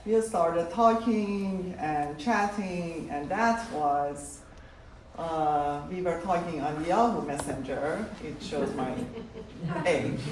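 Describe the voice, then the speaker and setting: A woman's voice: on the low side, darkish tone. A woman in her forties from Iran, telling a personal story in careful non-native English with an Iranian accent to a live audience. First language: Persian. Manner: slow, halting non-native English with laughs. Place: live event hall. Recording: echoey room, mic from far off, faint noise.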